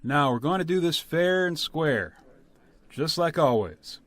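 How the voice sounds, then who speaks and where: A man's voice: fairly high pitch, animated, a little slow, fairly bright tone, no room sound. A man playing a practical, plainspoken village official in a radio play, folksy and orderly. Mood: businesslike.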